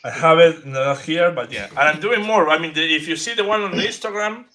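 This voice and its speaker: A man with an Argentinian accent chatting as a guest artist, halting and restarting as he searches for English words. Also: on the high side, bright, forceful tone. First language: Spanish. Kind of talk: casual chat.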